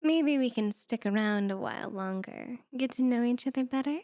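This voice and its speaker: A flirty succubus demoness, acted by a woman, speaks in a fairly high voice, close and dry. She is sultry and confident, coaxing and teasing her listener as in an intimate ASMR roleplay. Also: moderate pace, a little mellow, no echo, lightly acted.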